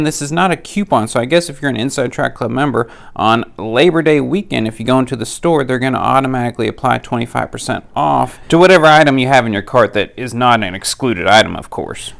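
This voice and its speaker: Nasal guy fighting a cold, gravelly and smooth, practical tool-deal vlogger, chatty and plain-spoken. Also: mid-range voice. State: has a cold. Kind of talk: casual product rundown.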